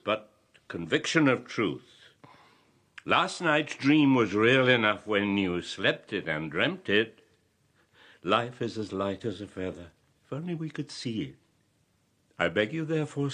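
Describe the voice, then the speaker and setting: Deep, deliberate old grandfather king. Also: a bit bright, full character voice. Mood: pleading. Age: older. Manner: deliberate, earnest, entreating. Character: aged grandfather, former king, cautious and pleading. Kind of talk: classical radio drama acting.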